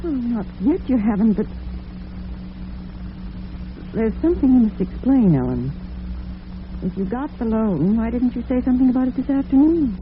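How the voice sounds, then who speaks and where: A woman's voice: a little high, sing-song, normal speed, pauses often. A middle-aged woman playing a suspicious, probing mother in an old-time radio drama. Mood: surprised, doubting. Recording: tinny, noisy vintage radio broadcast.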